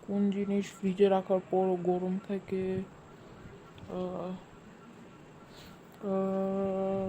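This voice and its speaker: Bumbling middle-aged cartoon uncle, a woman voicing him, Bangladeshi Bengali accent, halting "ahh" thinking, mid-pitched, noisy take. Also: monotone, dark tone. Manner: hesitant, drawn-out thinking sounds. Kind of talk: cartoon dubbing. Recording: noisy home recording.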